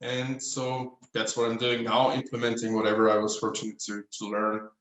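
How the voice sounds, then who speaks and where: A man's voice: lowish voice, completely flat delivery, normal speed, fairly bright tone. Austrian man, thoughtful, in an echoey room. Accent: Austrian German. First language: German. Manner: thoughtful, searching for English words. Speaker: guest craftsman. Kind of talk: casual interview chat. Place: his apartment at home.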